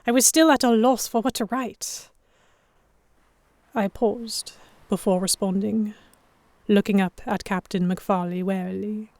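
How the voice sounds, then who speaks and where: A guarded, anxious young noblewoman, played by a woman, tells her own story in an audio drama. Her narration is literary and measured, at a medium pitch, recorded very dry. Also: steady tone, darkish tone, no echo, lightly acted. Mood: uneasy. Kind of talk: first-person dramatic narration, historical romance audio drama.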